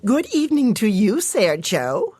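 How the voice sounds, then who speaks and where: A woman's voice: medium pitch, big pitch swings, measured pace, warm tone, breathy edge, soft background music. A woman voicing a polite servant in a game's stage play, formal and theatrical. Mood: courteous, stagey.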